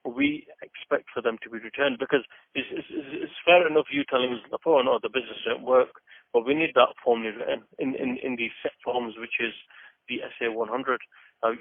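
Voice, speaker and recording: A man handles a customer service call in a gravelly, mid-pitched voice. He speaks haltingly, often repeating words as he explains. The phone recording is dry and slightly dull. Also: animated, no room sound. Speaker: tax office call handler.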